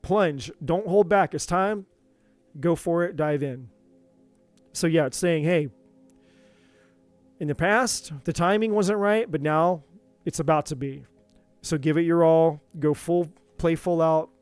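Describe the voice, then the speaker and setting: A man's voice: a little high, a little mellow, clear, resonant tone, dry recording. Earnest man giving a tarot reading. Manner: earnest, repetitive, encouraging. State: voice going hoarse. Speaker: tarot reader.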